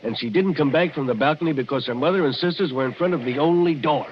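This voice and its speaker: Actor as folksy old-timer in noisy vintage radio. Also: male voice, fairly high pitch, fast, lightly acted. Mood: ominous, emphatic. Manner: folksy, emphatic storyteller. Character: rough, plain-spoken old local man, ominously cautionary. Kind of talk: 1940s radio acting. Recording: muffled, hissy old radio recording.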